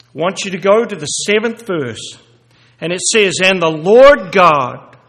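A man giving a sermon and reading scripture aloud, halting with many fillers and restarts, then growing louder and more emphatic as he reads. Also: high voice, lively intonation. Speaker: lay preacher. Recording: echoey hall reverb.

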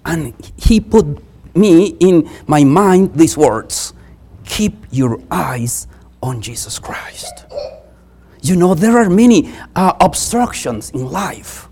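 Slow-paced preacher with a Spanish accent, animated pitch. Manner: halting, repeats phrases with earnest emphasis. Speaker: visiting preacher. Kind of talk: church sermon.

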